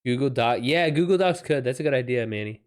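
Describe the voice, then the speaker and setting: A man hosting a livestream, chatting casually with viewers in a halting way as he thinks aloud. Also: mid-range voice, animated, smooth. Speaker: livestream host and writer.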